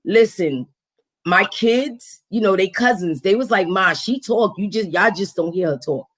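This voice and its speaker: A woman with a raspy, deep voice hosting a casual live stream, animated and slangy, acting out quotes. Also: a little slow. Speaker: host.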